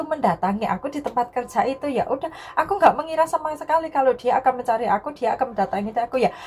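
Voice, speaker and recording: A young adult woman from Indonesia telling a personal story to viewers in a casual vlog style, often repeating herself and tagging sentences with a soft filler word. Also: a little high, husky.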